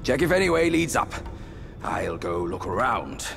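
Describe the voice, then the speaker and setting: An actor playing a brash, mischievous pig demon in a video game, gravelly, teasing and sardonic, with a swaggering delivery. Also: male voice, medium pitch, big pitch swings, moderate pace. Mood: brisk, wary. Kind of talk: video game cutscene acting. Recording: loud music under the voice. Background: cinematic music.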